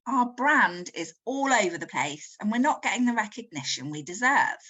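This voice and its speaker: A woman's voice: mid-range voice, lively intonation, normal speed, a bit bright. A woman playing a keen young marketing intern in an amateur comic story. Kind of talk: amateur comic audio drama.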